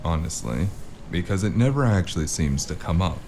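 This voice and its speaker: Gravelly, rock-bottom-pitched noir drifter played by a man, gruff, wry and understated, audio drama with noisy ambience behind. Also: lively intonation, brisk, darkish tone. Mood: sheepish, dry. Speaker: voice actor and first-person narrator.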